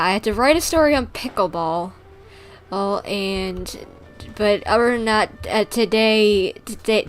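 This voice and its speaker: A woman chatting casually on a gaming livestream, rambling along with animated griping. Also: fairly high pitch. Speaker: streamer.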